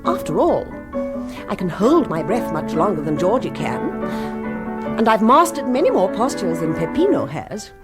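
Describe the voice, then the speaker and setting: A woman's voice: medium pitch, very animated, normal speed, over loud music, close to an everyday voice. An actress playing an affected, imperious village social queen in a radio comedy, with an upper-class English accent and theatrical delivery. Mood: smug, self-admiring. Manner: theatrical, affected, sprinkles speech with Italian endearments. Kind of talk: radio comedy drama acting.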